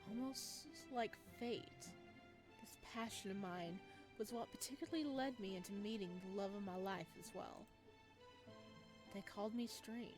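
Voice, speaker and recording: A woman voicing a soft-spoken, devoted male florist in a visual novel, in a soft, measured and tender manner, with game music behind. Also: mid-range voice, natural voice. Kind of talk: reading a visual novel aloud.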